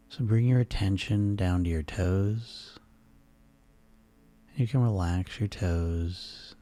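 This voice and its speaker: A man leading a guided sleep hypnosis session in a soothing, hushed, instructional way. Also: sits low.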